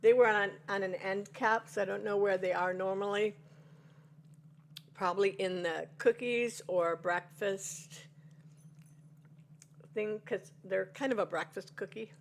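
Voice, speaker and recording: A woman's voice: medium pitch, steady tone, a little slow, gravelly, pauses often, a little hiss or hum. Chatty woman in her sixties. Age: 60s. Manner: chatty, rambling storyteller with exclamations. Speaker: devotional vlogger. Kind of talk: casual chat to viewers over coffee.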